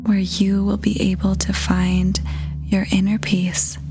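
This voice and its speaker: A woman leading a scripted guided meditation in a soft, breathy, whispery voice, soothing and gentle, with long pauses. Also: medium pitch, little pitch movement. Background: music.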